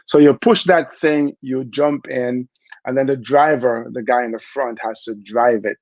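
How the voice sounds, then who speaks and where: Brief: middle-aged Jamaican man, gravelly voice, easygoing storyteller, lo-fi dry recording. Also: medium pitch, a little slow, dry recording. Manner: relaxed, chatty, frequent fillers and laughs. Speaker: interview guest, former Olympic bobsledder. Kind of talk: casual storytelling interview.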